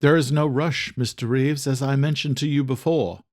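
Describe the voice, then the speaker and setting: A man playing a courteous older male doctor in an audio drama, speaking in a measured, formal way. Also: medium pitch, steady tone, a little mellow, close to an everyday voice. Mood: calm, formal. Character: composed, courteous older British doctor and researcher.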